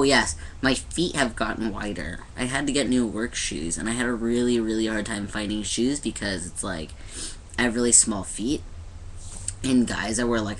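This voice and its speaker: A man's voice: on the low side, a bit bright, slight background noise, rough recording. A young man talking casually to his camera in a personal video diary, rambling with many restarts and fillers. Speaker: vlogger. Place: indoors in front of a light.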